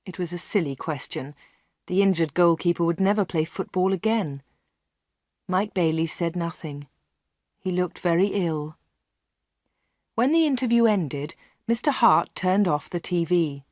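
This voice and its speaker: Brief: woman narrator, slow and clear for learners, dry close studio mic. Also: fairly low pitch, a little mellow, no echo. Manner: clear and measured. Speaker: audiobook narrator. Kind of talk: slow read-along storytelling for learners.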